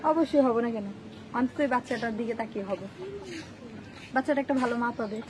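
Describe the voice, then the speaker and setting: A woman's voice: a little high, a little fast, crowd noise, low-quality recording. A twenty-year-old woman with a Bangladeshi accent, answering casual interview questions about her personal life. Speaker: interview guest.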